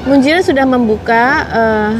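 A woman's voice: on the high side, normal speed, music in the background. A woman in her forties from Indonesia, chatting casually to viewers on a city street and answering their comments, with many fillers, through a lapel mic. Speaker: vlogger. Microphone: clip-on lapel mic. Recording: outdoor street noise behind.

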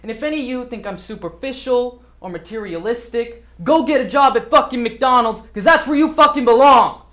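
A man's voice: extremely high pitch, a little fast, a little mellow. A man performing a motivational tirade as a brash, domineering rich sales boss, cocky and emphatic.

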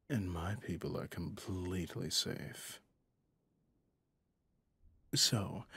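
A man voicing a possessive, smug mafia boss and smitten lover in an intimate ASMR roleplay, teasing and dominant, with a gravelly, breathy, often half-whispered voice. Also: deep voice, no echo, full character voice. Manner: slow, teasing, dominant, pet names.

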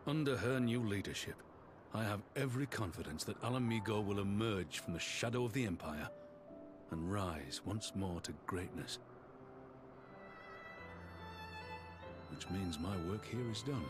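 An actor playing a stern, weary, middle-aged warrior general in a video game, speaking formally and with measured gravity. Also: male voice, lowish voice, expressive, over music, close to an everyday voice. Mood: proud, solemn. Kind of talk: scripted video game dialogue.

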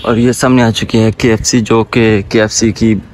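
A man's voice: lowish voice, flat delivery, moderate pace. A man in his twenties with a Pakistani accent, chatting informally to viewers in a casual walking-tour vlog. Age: 20s. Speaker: vlogger. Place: outdoors on busy city streets. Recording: clear outdoor street and traffic noise.

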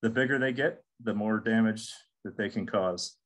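A man's voice: fairly low pitch, normal speed. Casual man lecturing on pests. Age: middle-aged. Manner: casual, repeats words and restarts with many ums. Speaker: extension entomologist. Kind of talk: recorded webinar lecture with slides.

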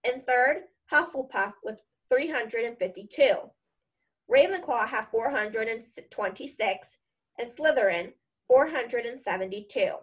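A woman voicing a cheerful, whimsical old male headmaster addressing pupils in a storybook, in a measured, ceremonious way. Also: fairly high pitch, expressive, breathy edge. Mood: cheerful, ceremonial.

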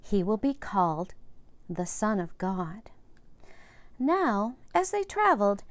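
A woman in her fifties reads aloud as a storyteller for young children. Her voice sits a little high and she takes her time, with a very slow delivery. She explains things gently and simply as she goes. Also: no echo. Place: indoors, home room with bookshelves. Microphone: lapel mic.

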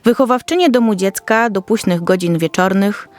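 A woman's voice: medium pitch, normal speed. A woman narrator reading a scripted children's story.

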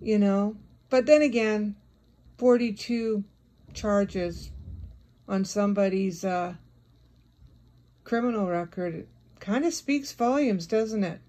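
A woman's voice: mid-range voice, slow pace, long pauses, dark tone. A woman giving a confrontational, scolding monologue addressed directly at someone, as an online video creator.

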